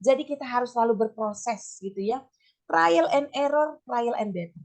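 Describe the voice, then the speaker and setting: A middle-aged woman with a slightly hoarse voice, giving an informal teachers' workshop talk in a chatty, step-by-step way sprinkled with English terms. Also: a little high, lively intonation, normal speed. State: slightly hoarse, voice not back to normal. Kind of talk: informal training talk with personal examples.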